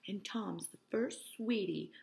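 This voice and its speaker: A woman's voice: medium pitch, takes it slowly, creaky, a little mellow, pitched above the performer's own voice. A woman voicing a frank, challenging sister in a novel read-aloud, pointed and demanding. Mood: frank, gossipy.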